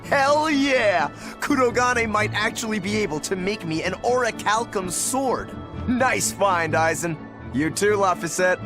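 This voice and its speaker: Hearty game swordsman, high-pitched, brash, exclaiming, loud music behind. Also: measured pace. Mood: elated. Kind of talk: English video game voice acting.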